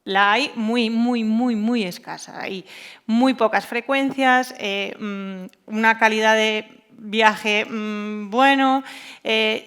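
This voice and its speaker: A woman's voice: a little high, a bit bright, clear, resonant tone. A woman speaking informally on a panel, colloquial and anecdotal, repeating words for emphasis. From Asturias, Spain. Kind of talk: informal round-table talk.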